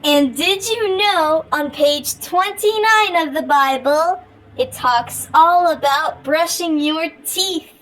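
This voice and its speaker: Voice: very high-pitched, takes it slowly, fairly bright tone, heavily acted, poor audio. A performer voicing a chirpy feline puppet who hosts a parody children's show, talking to kids in a sing-song way. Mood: cheery, earnest.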